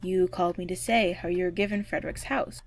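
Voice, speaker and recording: A woman playing a friendly, caring security guard coworker in an audio drama, with a gently teasing manner. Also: on the low side, fairly even intonation, brisk, creaky. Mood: gentle, sympathetic.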